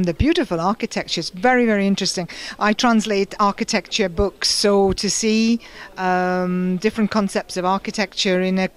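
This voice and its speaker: A middle-aged British woman translator, speaking thoughtfully in an interview and pausing often with fillers. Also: mid-range voice. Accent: British English. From United Kingdom. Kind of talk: conference interview and personal story.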